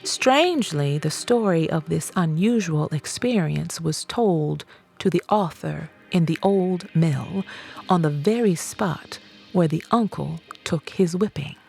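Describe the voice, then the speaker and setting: A woman narrates an audiobook with slow, deliberate, dramatic phrasing. Her voice sits on the low side with a gravelly creak, and she reads expressively as a storyteller. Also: lively intonation, a little mellow, faint music behind.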